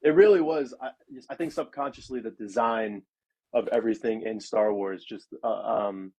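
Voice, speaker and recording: A man's voice: lowish voice, moderate pace, a little mellow. A man of thirty-one, an architect guest in a casual interview, talking in a chatty, rambling way full of "like" and "um". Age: 30s.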